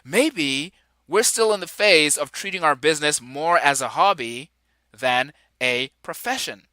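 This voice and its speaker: A man's voice: fairly high pitch, animated, unhurried, sharp tone, no echo. A man from Nigeria in his forties, hosting his own podcast with an upbeat, enthusiastic delivery and chuckling asides. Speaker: podcast host. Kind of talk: upbeat solo podcast intro.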